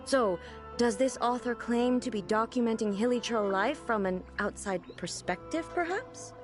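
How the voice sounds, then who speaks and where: A woman's voice: on the high side, moderate pace, soft background music, close to an everyday voice. A woman playing a regal, literal-minded female ruler in a video game, formal, composed and earnestly puzzled. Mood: puzzled, pondering. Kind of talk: video game dialogue acting.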